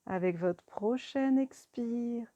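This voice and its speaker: A woman guiding a yoga relaxation meditation in a calm, soothing, deliberate manner. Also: fairly high pitch, no room sound, highs cut off. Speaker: yoga nidra teacher.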